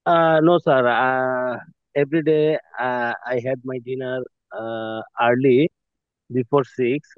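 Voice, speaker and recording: A man's voice: medium pitch, steady tone, clear, resonant tone, no echo. A man in his thirties from Bangladesh, calling in from a car to introduce himself politely, with many hesitations. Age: 30s. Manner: halting, many fillers, polite "sir". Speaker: student. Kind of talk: self-introduction in class. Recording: tinny phone line.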